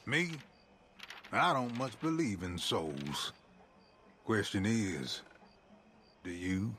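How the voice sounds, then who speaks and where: An actor playing a wary, streetwise American frontier outlaw fixer in a video game, with a husky voice and a folksy drawl. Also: male voice, on the low side, animated, very slow, fairly bright tone, slight background noise, exaggerated voice. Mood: conspiratorial, grave. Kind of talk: video game cutscene acting.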